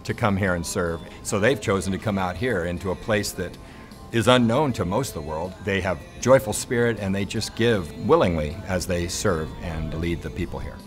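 Low-voiced man narrating over guitar music. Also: normal speed. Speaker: charity ministry narrator. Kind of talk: unscripted documentary interview narration. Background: guitar music behind the voice.

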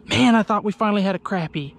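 A young man, chatting casually to his viewers from a small boat on a lake, getting excited when fish bite. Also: sits high, fast talker, gravelly, a little hiss or hum. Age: young adult. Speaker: fishing vlogger. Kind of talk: casual outdoor vlog commentary. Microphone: action camera on the boat.

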